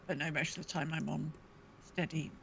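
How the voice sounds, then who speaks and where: A woman's voice: sits low, fairly even intonation, fairly quick, creaky, fairly bright tone. Woman chatting, slight background noise. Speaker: podcast co-host. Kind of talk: casual gaming chat.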